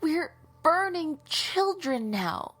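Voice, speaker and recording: A woman's voice: high voice, big pitch swings, very slow, fairly bright tone, soft background music, exaggerated voice. A woman acting an outraged, devout warrior guardian of the church in a fantasy audio drama, confrontational and emphatic. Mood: outraged, pleading.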